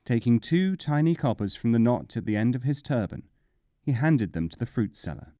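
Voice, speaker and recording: A man narrating short tales as an audiobook, with measured, deliberate phrasing and dramatic pauses. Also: medium pitch, very animated, mellow, dry recording, clean studio sound. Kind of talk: scripted storytelling narration.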